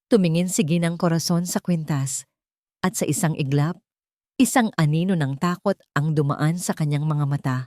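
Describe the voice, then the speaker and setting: A woman's voice: low-pitched, normal speed, darkish tone, no echo, clean studio sound. A woman narrating a scripted story in a dramatic style.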